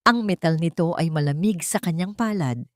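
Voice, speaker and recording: A woman storyteller with a lowish voice, recorded close and dry. She delivers scripted drama narration in a measured, theatrical manner. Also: dry recording.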